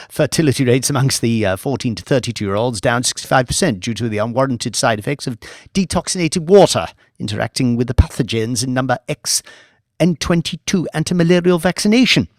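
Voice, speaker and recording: A man's voice: mid-range voice, no echo, studio quality, lightly acted. A man voicing an eccentric, gleeful scientist in an audiobook, fussy, chatty and rambling, gloating as he talks. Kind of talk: audiobook character voice.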